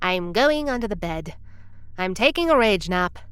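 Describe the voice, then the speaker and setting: A prim, by-the-book veteran time traveler near retirement, acted by a woman, formal in speech and quick to get irritated. Her voice sits at a middling pitch and is recorded dry and close. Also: lively intonation, fairly bright tone, smooth, dry recording, soft background music, close to an everyday voice. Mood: grumpy. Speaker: senior time travel agent. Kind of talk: audio drama acting.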